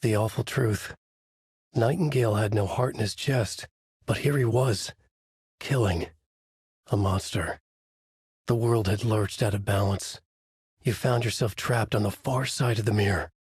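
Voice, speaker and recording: A man playing a brooding, haunted writer in a video game, narrating a dark noir thriller in grave, clipped, dramatic phrases with a husky voice. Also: on the low side, a little slow, dry recording, studio quality. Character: brooding, haunted male writer trapped in a nightmare.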